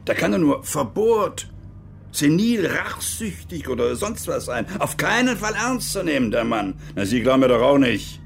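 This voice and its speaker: An actor in a radio drama playing a bitter, stubborn old former army major, halting and agitated, with a gravelly, husky voice. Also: male voice, a little high, slight background noise, heavily acted. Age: older. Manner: halting, agitated, self-reproaching, with outbursts. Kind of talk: German radio crime drama acting, interview monologue.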